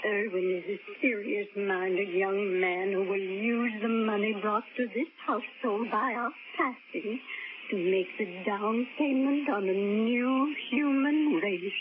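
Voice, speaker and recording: An actress playing an anxious, devoted older wife who speaks in a tremulous voice near tears, in 1940s radio acting style. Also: female voice, mid-range voice, slow, natural voice. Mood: tearful. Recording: tinny noisy old radio broadcast.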